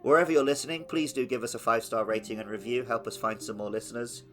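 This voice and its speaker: A man's voice: mid-range voice, steady tone, quick pace, fairly bright tone, faint music behind. A man co-hosting a podcast, chatting casually about video games. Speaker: podcast co-host.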